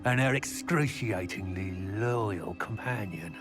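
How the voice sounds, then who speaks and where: An actor playing a smug, menacing crime boss in a video game, with a mocking, deliberate, taunting delivery. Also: male voice, on the low side, expressive. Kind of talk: video game cutscene acting. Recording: loud music behind.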